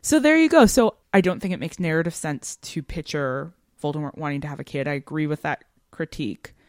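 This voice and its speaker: A woman's voice: sits low, expressive, fairly quick, darkish tone, no echo, studio quality. A woman co-hosting a podcast, discussing literature conversationally in an analytical, academic way. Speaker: podcast co-host.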